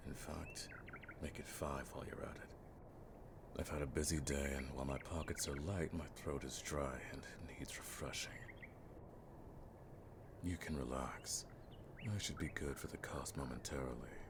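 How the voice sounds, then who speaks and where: A man playing a weathered, world-weary veteran monster hunter in a fantasy audio drama, with a gravelly voice and a dry, wryly formal way of speaking. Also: very deep voice, moderate pace, background noise.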